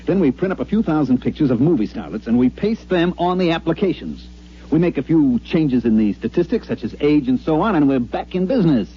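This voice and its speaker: A man's voice: on the high side, expressive, brisk, breathy edge, lo-fi sound. An American man acting as a brash, crooked promoter in a 1950s radio drama, with slick salesman patter. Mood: cocky. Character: brash, fast-talking, crooked promoter, cocky con man. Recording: band-limited 1950s radio recording with music.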